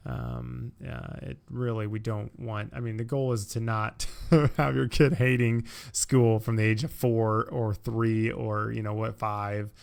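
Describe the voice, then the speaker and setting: A man's voice: fairly low pitch, flat delivery, measured pace, creaky, few pauses. An easygoing, reassuring man, chatting casually as a podcast host. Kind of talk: casual chat.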